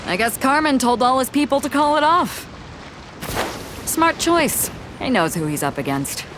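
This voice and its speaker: A woman's voice: high-pitched, lively intonation, moderate pace, noisy background. A woman voicing a cocky, commanding pirate captain in a video game, curt and teasing, with music behind her. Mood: confident. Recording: background music under the voice.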